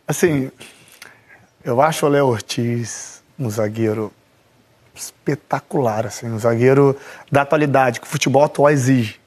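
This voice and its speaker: A man's voice: medium pitch, slow, long pauses, husky. A man in his thirties with a Brazilian accent, a football pundit who argues emphatically and repeats his points. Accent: Brazilian Portuguese. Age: 30s.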